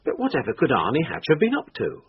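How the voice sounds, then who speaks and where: An actor playing a bemused, incredulous police chief in a radio drama, full of questions. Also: male voice, medium pitch, huge pitch swings, fast, husky, exaggerated voice. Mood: baffled. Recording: dull, noisy lo-fi radio broadcast.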